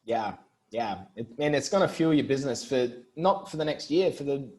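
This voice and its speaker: A man with an Australian accent and an average-pitched voice speaks as a guest on an interview show. He explains things conversationally and informally, dropping in casual filler phrases. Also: animated, moderate pace, a little mellow, poor audio. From Brisbane, Australia. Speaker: interview guest, marketing entrepreneur.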